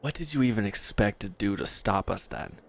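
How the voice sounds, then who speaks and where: A man's voice: fairly low pitch, animated, a little fast, creaky. A man playing a bitter, proud and resentful injured villain in a dramatic audio roleplay, speaking with sardonic, confrontational edge. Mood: angry, accusing.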